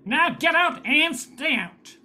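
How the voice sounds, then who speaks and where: A woman's voice: high voice, lively intonation, takes it slowly, bright, forceful tone, full character voice. A woman playing a wry, jaded male bar owner in a space-noir radio spoof, narrating and speaking in scenes. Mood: indignant. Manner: wry, hard-boiled.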